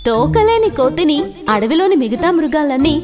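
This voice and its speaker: A woman's voice: high voice, very animated, moderate pace, loud music behind the voice. A woman narrating a children's story in a scripted storytelling style.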